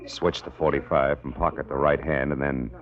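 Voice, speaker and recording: An American actor playing a tough, wisecracking private detective in clipped, sardonic 1940s radio style. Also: male voice, very deep voice, fairly even intonation, normal speed. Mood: tense narration. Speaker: narrator and lead actor. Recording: muffled, noisy old radio recording.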